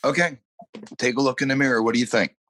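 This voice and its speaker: A man's voice: mid-range voice, steady tone, fast talker, fairly bright tone, no room sound, close to an everyday voice. A man playing a curt, unimpressed, impatient tattoo artist in a radio comedy. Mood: businesslike. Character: blunt, impatient male tattoo artist named Ed.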